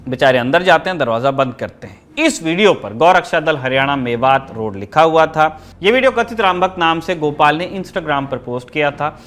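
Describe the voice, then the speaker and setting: A man's voice: on the high side, fairly bright tone. A man hosting a news show, delivering scripted commentary in a formal, emphatic, rhetorical manner.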